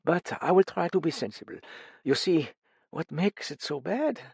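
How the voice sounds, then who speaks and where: A man reading an audiobook, voicing a brittle, grieving middle-aged widow in a raised, halting, pained voice. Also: mid-range voice, expressive, warm tone, husky, no room sound, studio quality, voice pushed up. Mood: anguished. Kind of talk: audiobook character voice.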